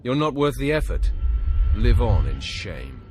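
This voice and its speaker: An actor playing a cool, calculating young Sith acolyte in a video game, measured and sardonic. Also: male voice, medium pitch, sing-song, darkish tone, soft background music. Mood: contemptuous. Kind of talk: video game cutscene acting.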